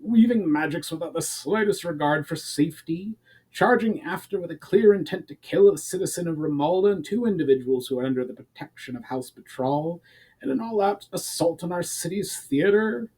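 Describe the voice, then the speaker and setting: A man's voice: a little high, expressive, normal speed, dark tone, husky, voice pushed up. A man voicing a stern, authoritative knight commander with formal speech in a fantasy audiobook. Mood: furious, commanding. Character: stern, commanding male knight commander, protective of his city.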